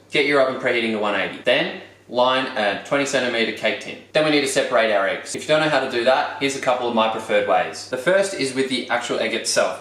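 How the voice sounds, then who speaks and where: Casting: Australian male cooking presenter, mid-pitched, playful and wry, roomy sound. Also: fairly bright tone. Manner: playful, wry, pun-making. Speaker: cooking show host. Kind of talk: jokey casual cooking presentation.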